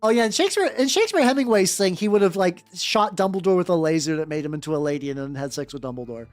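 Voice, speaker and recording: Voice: mid-range voice, expressive, quick pace, no echo. A VTuber livestreamer chatting casually and reading fan fiction aloud in a rambling, sardonic, theatrical way. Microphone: close dry streaming mic. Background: faint background music.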